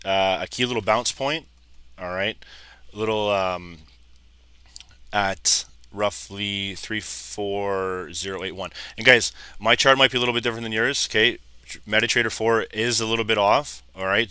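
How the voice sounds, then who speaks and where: A man in his thirties, a little hoarse, casually talking viewers through live trading charts and calling them "guys". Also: on the low side, pushed and bright, dry recording. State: slightly hoarse, losing his voice. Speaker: trading educator. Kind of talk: live trading screen commentary.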